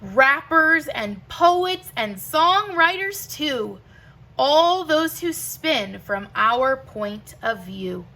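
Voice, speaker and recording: A woman reads a children's picture book aloud at a very slow, measured pace. Her voice is bright and fairly high, and she places each phrase with deliberate emphasis, as a teacher would. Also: animated. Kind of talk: expressive read-aloud of a rhyming picture book.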